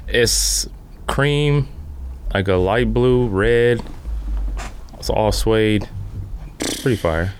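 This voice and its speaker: A man chatting casually as a podcast guest, halting often as he thinks aloud. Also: fairly low pitch, slight background noise. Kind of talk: casual chat. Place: podcast studio.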